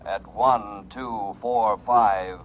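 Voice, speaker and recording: A man playing an official police dispatcher in a 1940s radio drama, deliberate and measured. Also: lowish voice, fairly even intonation, noisy background. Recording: muffled, distant vintage radio recording.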